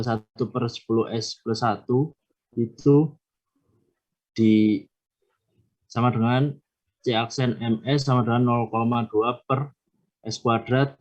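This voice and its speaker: A young man presenting his worked solution in an online class, halting and filling pauses with hesitations. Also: mid-range voice, darkish tone, dull audio. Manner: halting, many filler hesitations.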